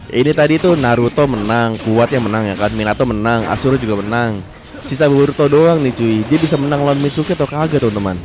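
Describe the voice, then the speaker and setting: A young man with a stuffy, blocked nose giving excitable, slangy play-by-play of a fighting game and talking straight to his viewers. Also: mid-range voice, fast talker. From Indonesia. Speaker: gaming commentator. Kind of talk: casual gameplay commentary. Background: game music.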